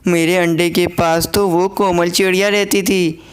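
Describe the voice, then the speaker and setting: A woman's voice: on the low side, flat delivery, unhurried, clear, resonant tone, faint music behind, lightly acted. A protective mother crow from a children's cartoon, acted by a woman. She is stern and accusing, with a clean, resonant voice. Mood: suspicious. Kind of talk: children's cartoon voice acting in Hindi.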